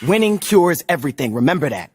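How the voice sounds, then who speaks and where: A man playing a scheming, persuasive sports agent in a video game drama, with a husky voice and an emphatic way of talking. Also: fairly high pitch, sing-song, fast talker, a little mellow, no room sound, studio quality. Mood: reassuring, urgent. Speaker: actor in a video game story cutscene. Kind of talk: naturalistic dramatic game acting.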